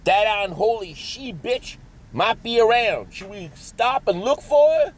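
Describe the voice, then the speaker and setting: An actor playing an excitable, irascible backwoods monster hunter with a Southern US accent, folksy and bossy, in a comic audio drama. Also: mid-range voice, a little slow. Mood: folksy relief.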